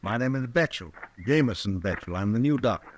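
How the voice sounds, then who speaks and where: An actor playing a smooth-talking, self-justifying frontier doctor in a radio western, glib, insistent and quick to take offense. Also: male voice, medium pitch, animated, a little hiss or hum, close to an everyday voice. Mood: self-assured. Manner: glib, insistent, indignant when challenged. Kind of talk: old-time radio western acting.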